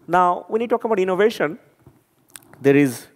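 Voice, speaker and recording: A man's voice: high voice, lively intonation, moderate pace. A man from Bangladesh with a Bangladeshi accent, giving an earnest, emphatic talk to an audience and often repeating words as he searches for them. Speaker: conference speaker. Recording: long hall reverb.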